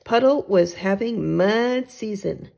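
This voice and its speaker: A woman's voice: mid-range voice, slow, gravelly, dark tone. A woman teacher reading a picture book aloud to young children, adding simple asides about the pictures.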